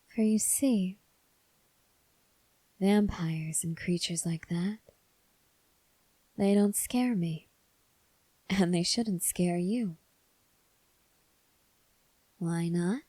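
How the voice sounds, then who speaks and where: A teasing, dangerous female vampire, acted by a woman for an ASMR roleplay. Her voice is dark and breathy, often falling to a whisper. She speaks very slowly and intimately, close to the mic, coaxing with quiet menace. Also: on the low side, no room sound, full character voice. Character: soft-spoken, teasing, menacing female vampire huntress with an axe.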